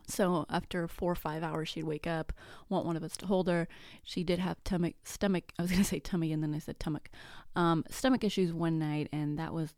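A woman's voice: low-pitched, a little mellow, no echo, studio quality. A woman in her thirties from Mexico whose first language is Spanish, hosting a casual solo podcast in a chatty, self-deprecating way with jokey asides. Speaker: podcast host.

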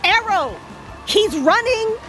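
A woman's voice: very high-pitched, animated, slow, pushed and bright, soft background music, full character voice. A woman playing a tough, cocky superhero vigilante in an audio drama, with sassy, confident banter. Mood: urgent.

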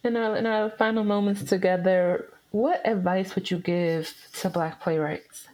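A woman's voice: mid-range voice, steady tone, a little slow, darkish tone, a little hiss or hum, poor audio. A woman hosting a podcast interview, encouraging her guest with short affirming words. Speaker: podcast host.

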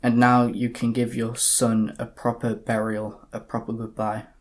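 A man's voice: medium pitch, monotone, natural voice. A composed police inspector, acted by a man in an amateur audio drama. He speaks formally and evenly in a mid-range voice, with little rise or fall. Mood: calm, consoling. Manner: measured, formal. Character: calm, sober male police detective inspector. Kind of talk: community audio drama narration and dialogue.

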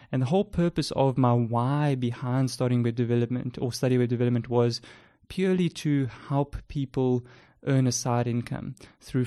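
A man's voice: mid-range voice, no echo, clean studio sound. A young adult man with a South African accent, co-hosting a podcast and casually introducing himself with frequent ums. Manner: relaxed, with frequent ums and uhs. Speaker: podcast co-host.

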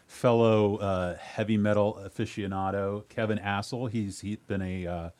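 A middle-aged man hosting a podcast, asking his guest questions in a quick, chatty, friendly way. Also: fairly low pitch, fairly even intonation, a little mellow. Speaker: podcast host. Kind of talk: casual interview chat.

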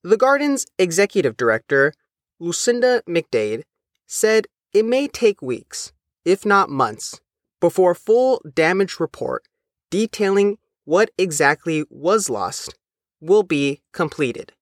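A man reading a scripted news feature as the reporter, in a measured, careful way. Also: sits high, very animated, no echo, clean studio sound. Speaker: reporter and narrator.

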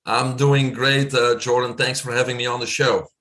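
A man in his fifties from Belgium with a Belgian accent, a guest telling his story in a relaxed, chatty way with many fillers. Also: mid-range voice, normal speed. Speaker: guest, physician. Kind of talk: casual storytelling interview.

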